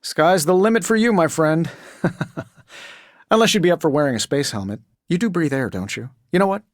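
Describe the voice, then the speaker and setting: An actor voicing a cocky, wisecracking billionaire genius superhero in a video game, with an American accent and a glib, sarcastic, self-assured delivery. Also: male voice, on the high side, animated, normal speed, dry recording, studio quality, close to an everyday voice. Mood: playful, sarcastic. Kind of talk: scripted game character dialogue.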